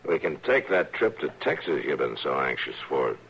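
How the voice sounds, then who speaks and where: An actor playing a calm, steady frontier marshal man who questions people in a dry, measured way, in an old radio drama. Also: extremely low pitch, creaky, exaggerated voice. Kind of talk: 1950s radio drama acting. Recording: old radio broadcast, dull and hissy.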